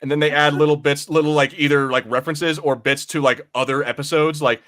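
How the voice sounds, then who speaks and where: A man's voice: fairly high pitch, fairly even intonation, a little fast, a bit bright. A man co-hosting a podcast, explaining things in a rambling, informal, sweary way during casual chat. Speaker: podcast co-host.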